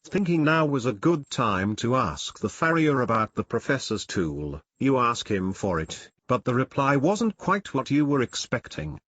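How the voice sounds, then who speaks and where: A man's voice: mid-range voice, very animated, normal speed, few pauses, a bit bright, no echo. A man reading a scripted story for children as its narrator.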